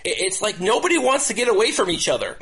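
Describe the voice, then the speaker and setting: A man with a somewhat high-set voice hosts a casual sports discussion. He speaks in a halting, looping way, often repeating the start of a phrase before carrying it through. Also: very animated, fairly bright tone, slightly rough. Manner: halting, repetitive, restarts phrases over and over. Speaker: podcast host.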